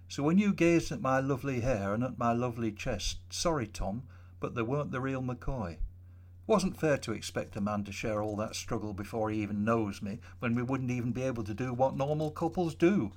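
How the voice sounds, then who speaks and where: A man voicing a young woman of about thirty who speaks quietly and candidly in a storybook reading. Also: mid-range voice, fairly quick, close to an everyday voice. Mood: confiding. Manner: quiet, soft-spoken.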